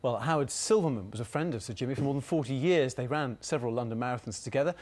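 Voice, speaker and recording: A male news anchor reads and links reports in a formal broadcast style. His voice is of average pitch, recorded in a studio. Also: fast talker, darkish tone.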